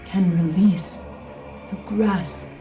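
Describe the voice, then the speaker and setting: A stern goddess, echoing and distant over music. She speaks slowly and solemnly, with commanding authority, in dramatic video game style. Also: medium pitch, fairly even intonation. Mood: grave, urgent.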